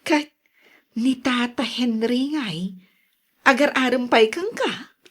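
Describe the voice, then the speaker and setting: A woman's voice: on the high side, slow pace, fairly bright tone. A woman playing a nosy, teasing village confidante with an Ilocano accent in a radio drama. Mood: prying.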